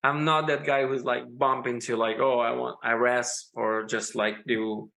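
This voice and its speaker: A man with an Italian accent, a guest musician on a casual interview, talking haltingly as he searches for English words. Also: mid-range voice, steady tone, vocal fry, pushed and bright. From Italy.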